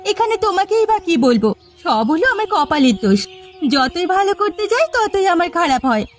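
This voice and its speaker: A woman playing a hurt, long-suffering young village wife in a cartoon melodrama, with quick, plaintive delivery. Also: very high-pitched, sing-song. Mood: self-pitying. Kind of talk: melodramatic cartoon family comedy acting.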